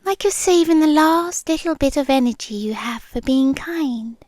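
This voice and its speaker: A British woman half-whispering a soft, nervously chatty ASMR roleplay into a close microphone. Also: high voice, lively intonation, moderate pace, no room sound.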